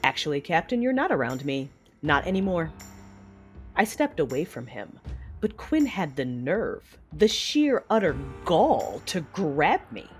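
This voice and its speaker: A woman's voice: sits low, very animated, unhurried, soft background music. A woman playing a fierce, conflicted Sith woman who narrates a sci-fi audio drama with controlled, brooding drama. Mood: cold anger.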